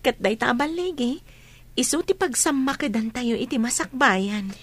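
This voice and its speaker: A woman's voice: sits high, moderate pace, breathy edge. A woman with an Ilocano accent playing a hopeful, patient young student in a radio drama. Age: young adult.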